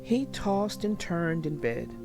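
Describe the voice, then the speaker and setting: Lady reading stories to kids, slow and deliberate, lots of up and down in her voice, music behind. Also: lowish voice. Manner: deliberate, expressive, addresses young listeners directly. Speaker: children's story narrator and channel host. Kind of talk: read-aloud storytelling for young children.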